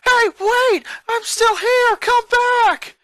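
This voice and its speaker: Man acting a young cartoon schoolboy, high bright voice, whiny, exasperated, anxious, story reading. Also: takes it slowly, dry recording, studio quality, full character voice. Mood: desperate shouting. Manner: whiny, stammering when nervous. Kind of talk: dramatic fan fiction reading.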